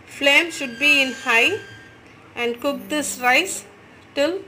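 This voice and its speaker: A woman's voice: high-pitched, slow, sharp tone, a little hiss or hum, rough recording. A woman, giving step-by-step recipe instructions as a voice-over in a home kitchen. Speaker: cooking channel host.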